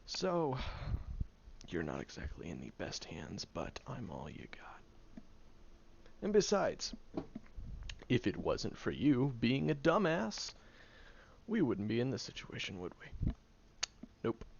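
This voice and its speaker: A man's voice: medium pitch, wildly swooping pitch, takes it slowly, vocal fry, long pauses, exaggerated voice. A man playing a sarcastic, exasperated tsundere young man who grudgingly looks after someone in an audio roleplay, speaking straight to the listener. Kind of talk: audio roleplay acting, spoken directly to the listener. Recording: dull lo-fi audio with faint noise.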